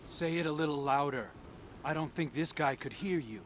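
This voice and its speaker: A man's voice: a little high, normal speed, background noise, lightly acted. An actor playing an outspoken younger soldier with a conscience in a video game cutscene, earnest and challenging in his delivery. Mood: bitter, defiant.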